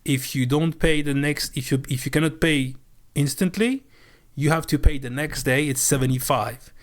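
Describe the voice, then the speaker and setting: A man streaming live and spinning a story with a slightly higher than usual voice. He swears freely and throws himself into acting out both sides of a shouting match. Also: normal speed. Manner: animated, swears freely, acts out heated arguments.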